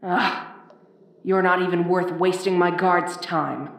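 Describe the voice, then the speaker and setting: A woman playing a haughty, bossy ice queen in an audio roleplay, speaking with imperious, deliberate phrasing. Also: on the low side, fairly even intonation, slight background noise. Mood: haughty, threatening. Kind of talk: audio roleplay addressed to the listener. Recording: roomy, echoing voice recording.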